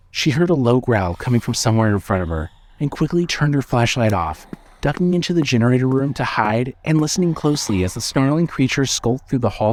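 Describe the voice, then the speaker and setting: A man narrating a scripted suspense story in a measured, dramatic storytelling manner. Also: medium pitch, a little mellow.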